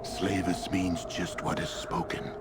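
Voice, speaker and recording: Deep, slow, menacing creature warrior. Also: male voice, level tone, heavy background noise. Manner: slow, ominous, formal. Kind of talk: video game cutscene acting. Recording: loud music under the voice.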